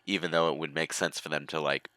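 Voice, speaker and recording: A man's voice: very deep voice, steady tone, fast talker, sharp tone, no echo. A man giving opinionated, rambling commentary in a casual group chat over a video game. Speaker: gaming commentator.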